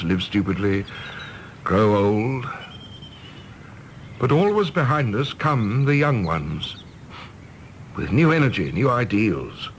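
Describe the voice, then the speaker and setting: A man's voice: lowish voice, a little hiss or hum. An actor playing an earnest, wistful middle-aged English man with idealistic hopes, in a radio play.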